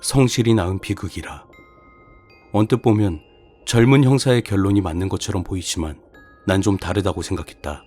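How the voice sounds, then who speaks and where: A man narrating a scripted horror story in the first person, with a measured, brooding delivery. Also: sits low, a little mellow. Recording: music behind the voice.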